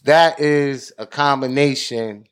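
A man hosting a podcast, giving deliberate commentary in a preaching tone. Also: medium pitch. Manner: deliberate, preaching tone, frequent "you know". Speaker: podcast host.

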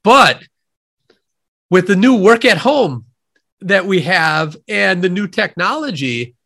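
Brief: Midwestern American man, nasal, high and bright-toned, measured explaining. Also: very animated. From Wisconsin, USA. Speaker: guest economist. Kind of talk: podcast interview, explaining ideas.

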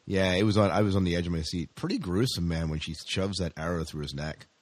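A man's voice: sits low, fast, dry recording. A man co-hosting a podcast, chatting casually in an enthusiastic, rambling way as a fan of sci-fi shows. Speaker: podcast co-host.

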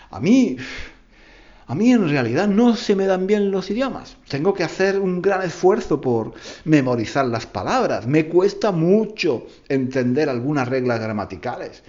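Playful storytelling man, lively pitch. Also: muffled recording. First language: Spanish. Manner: playful, repeats phrases, exaggerates. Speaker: podcast host. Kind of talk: storytelling to learners.

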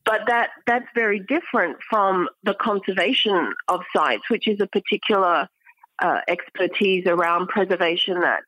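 A woman speaking as an academic guest in an interview, explaining things in a measured, scholarly manner. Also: mid-range voice, a bit bright, a little hiss or hum, rough recording. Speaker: guest academic, archaeology professor.

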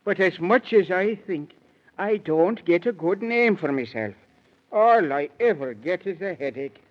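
A man's voice: high voice, expressive, unhurried. An actor voicing a whimsical, fretful lion king in a 1930s children's radio play, with a dithering, theatrical delivery. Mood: woeful, dithering. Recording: muffled vintage 1930s radio recording.

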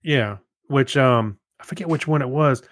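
A man co-hosting a podcast, chatting casually and haltingly as he thinks aloud. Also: mid-range voice. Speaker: podcast co-host. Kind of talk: casual chat.

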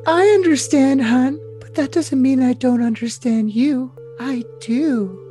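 A man's voice: very high voice, a little slow, warm tone, soft background music. A man playing a clingy, anxious, lovestruck young woman in an overly dramatic comic reading of texts, with a sugary, melodramatic delivery. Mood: agreeable. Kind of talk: overly dramatic comedic reading of text messages.

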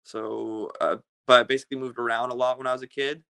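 Halting, gravelly-voiced man. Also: medium pitch, fairly even intonation, pushed and bright. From Russia. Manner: hesitant, many fillers and restarts. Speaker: guest musician. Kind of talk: casual interview answers.

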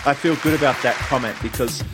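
A man's voice: mid-range voice, fairly quick, music in the background, a crowd in the background. A man hosting a casual podcast, upbeat and chatty. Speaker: podcast host.

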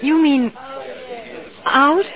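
Anxious genteel bride, high voice, slow and hesitant, old muffled radio western. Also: female voice, a crowd in the background. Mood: frightened. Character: nervous, genteel, high-strung Eastern bride. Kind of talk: 1950s radio Western acting.

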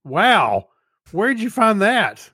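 A man's voice: sits high, huge pitch swings, extremely slow, bright, forceful tone, husky, no room sound, studio quality. An American man chatting casually as a podcast co-host. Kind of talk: casual chat.

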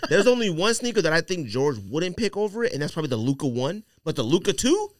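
A man with a slightly high voice chats casually as a podcast host. His delivery swings widely in pitch and is emphatic, slangy and opinionated. The sound is very dry. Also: very animated, quick pace, dry recording.

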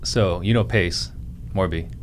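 A male host with a low voice, asking questions in a loose, casual interview. He drifts into rambling tangents and owns up that he is ranting. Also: a little slow, a little hiss or hum. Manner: rambling, self-aware ranting. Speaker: podcast host and real estate wholesaler.

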